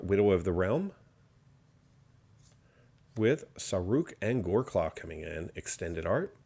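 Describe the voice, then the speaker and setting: Slightly hoarse male box opener, gravelly low voice, calm running card commentary. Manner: steady card-by-card listing, mild enthusiasm. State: slightly hoarse, recovering from illness. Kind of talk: unboxing commentary.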